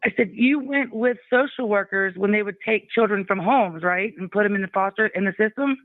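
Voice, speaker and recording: A man's voice: high voice, a little fast, no room sound. A man calling into a live show, insistent and rambling, talking over the host. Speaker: caller. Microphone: phone. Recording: phone line, dry.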